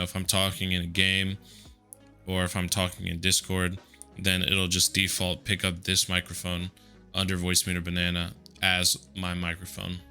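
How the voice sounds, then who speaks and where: A man with a deep voice walking through software settings in a relaxed, conversational way, with a headset mic. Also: clear, resonant tone. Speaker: tutorial host. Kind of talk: casual screen-recorded software tutorial.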